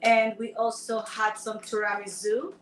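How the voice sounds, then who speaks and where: A woman's voice: fairly high pitch, flat delivery, measured pace, distant mic, over music. A woman from Ecuador with a Spanish accent, chatting playfully with viewers while co-hosting a live stream. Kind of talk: casual live-stream chat. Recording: roomy sound from away from the mic.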